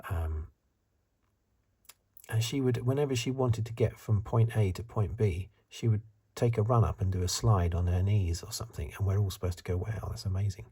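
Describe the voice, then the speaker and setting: A man speaking in a soft, breathy, partly whispered ASMR voice, musing hesitantly as he gives a casual review. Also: lowish voice, normal speed. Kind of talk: soft-spoken ASMR commentary.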